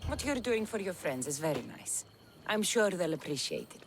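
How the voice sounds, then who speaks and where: A woman's voice: lowish voice, sing-song, normal speed, a little hiss or hum. An actress playing a tough, wry female mercenary in a video game, blunt and dry in manner. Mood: amused, kind. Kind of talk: video game cutscene acting. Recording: music behind the voice.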